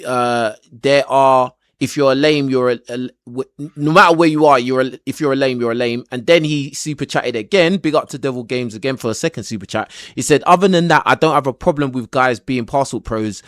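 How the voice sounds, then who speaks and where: A man's voice: mid-range voice, a little fast, few pauses, fairly bright tone, no echo, clean studio sound. A man hosting a livestream debate, cutting in and repeating himself as he talks over his callers. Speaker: livestream host. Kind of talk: casual debate chat.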